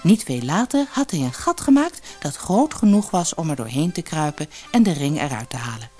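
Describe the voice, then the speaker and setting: A woman reading a fairy tale as an audiobook storyteller, expressive and measured. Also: deep voice, faint music behind. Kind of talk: scripted fairy-tale narration.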